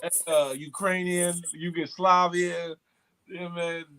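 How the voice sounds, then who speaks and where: A man hosts a casual morning show, teasing and laughing in loose, slangy speech. His voice sits fairly high for a man. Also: flat delivery, slow, background noise.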